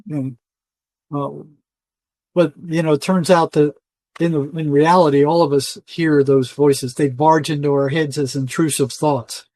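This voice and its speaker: A man's voice: a little high, fairly even intonation, measured pace, a little mellow, no echo. An older man speaking as an interview guest in a conversational, colloquial and emphatic storytelling way. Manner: emphatic, colloquial, often quoting imagined dialogue. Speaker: interview guest, retired psychotherapist.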